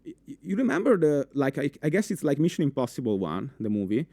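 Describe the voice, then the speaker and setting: A young man with an Italian accent giving a live conference talk in a full room, animated, with many fillers and restarts, asking the audience questions. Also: a little high, fast talker, gravelly, no room sound. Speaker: conference speaker.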